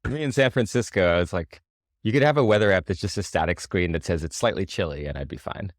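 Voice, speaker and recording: A man chatting casually about tech as a podcast co-host, thoughtful and halting in his phrasing. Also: low voice, no room sound, studio quality.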